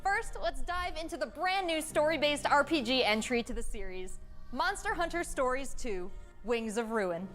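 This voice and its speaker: A woman's voice: sits high, animated, normal speed, fairly bright tone. A woman presenting a showcase in a scripted, upbeat hosting style. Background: faint music.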